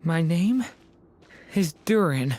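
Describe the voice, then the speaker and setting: A woman voicing a gentle young male dragon in boy form, in halting, earnest dramatic game acting. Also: lowish voice, animated. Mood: weak, lost.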